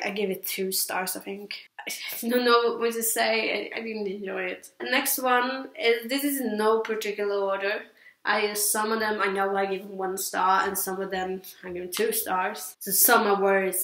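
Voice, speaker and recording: A woman's voice: mid-range voice, a bit bright. Echoey young Swedish woman chatting casually. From Sweden. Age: teens to young adult. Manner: hesitant, rambling, repeats herself. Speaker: book reviewer. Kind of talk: casual vlog chat. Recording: echoey, roomy sound.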